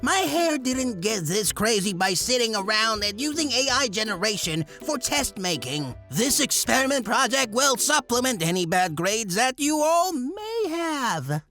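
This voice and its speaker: A woman's voice: fairly high pitch, very animated, unhurried, few pauses, fairly bright tone, heavily acted. A woman voicing a quirky, playful chemistry teacher in an anime dub, lecturing over music. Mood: indignant.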